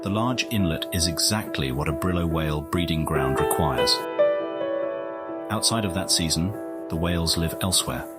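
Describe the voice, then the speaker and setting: Super low guy playing a solemn nature doc narrator in a game, measured, loud music under him. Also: lightly acted. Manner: measured, articulate. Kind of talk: scripted nature documentary narration.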